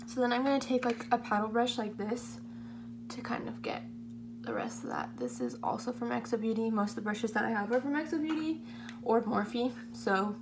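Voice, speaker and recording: A 21-year-old woman with a slightly hoarse voice, chatting casually through a makeup tutorial in a rambling, self-deprecating way. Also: a little high, animated, normal speed. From California, United States. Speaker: beauty vlogger. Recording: amateur home video, clear humming background noise.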